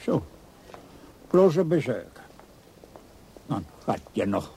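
A man's voice: on the high side, big pitch swings, slow, gravelly, mellow, a little hiss or hum, exaggerated voice. An actor playing a curt, suspicious older father in a radio crime drama. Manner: curt, wary. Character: gruff, suspicious father of a grown daughter.

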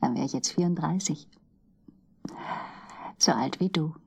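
An actress in a radio drama playing an infatuated woman in her forties, breathy and whispery, tender and confiding. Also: sits low, measured pace, dark tone, full character voice. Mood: smitten, tender. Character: infatuated woman of forty-four, tender and girlishly giddy. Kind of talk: intimate radio drama acting.

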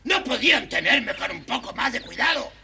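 Squeaky, creaky, grumpy little dwarf. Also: male voice, sing-song, brisk, bright, forceful tone, shouting, exaggerated voice. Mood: peevish complaining. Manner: whining, scolding, exclamatory. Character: grumpy, ungrateful, greedy little male dwarf. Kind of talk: children's audio storybook acting. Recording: noisy old children's record.

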